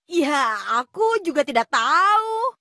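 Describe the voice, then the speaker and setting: A woman voicing a clumsy, excitable cartoon schoolboy who is boastful, whiny and easily flustered. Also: very high-pitched, slow pace, dry recording, studio quality, heavily acted. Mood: eager. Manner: whiny, exclamatory. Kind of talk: animated cartoon dub acting. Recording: music behind the voice.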